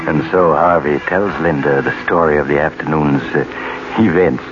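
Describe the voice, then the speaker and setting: Deep-voiced man narrating a radio drama. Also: loud music behind the voice. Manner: calm, measured storytelling. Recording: muffled old radio recording with loud hiss.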